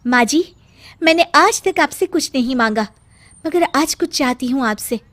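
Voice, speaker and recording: A woman voicing an earnest, sharp-minded young daughter-in-law in an animated story. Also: high voice, moderate pace. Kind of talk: animated Hindi moral story dialogue.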